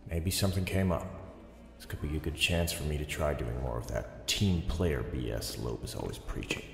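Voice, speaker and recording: An actor playing an earnest, guarded, weary police detective in an audio drama, dry and understated. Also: male voice, very deep voice, moderate pace, creaky. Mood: weary, reflective. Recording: reverberant hall sound, music behind.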